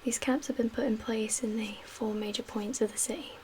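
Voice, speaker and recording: A woman playing a calm survivor camp leader in a soft-spoken ASMR roleplay, with a breathy, whispery voice and a gentle, measured, hushed manner. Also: fairly high pitch, close to an everyday voice. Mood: calm, explaining. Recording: clear background ambient noise.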